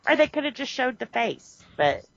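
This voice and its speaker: A woman co-hosting a podcast, chatting casually about a movie, animated and quick to laugh. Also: sits high, creaky, no echo. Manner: animated, laughs often, acts out quotes. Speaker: podcast co-host. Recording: muffled lo-fi audio.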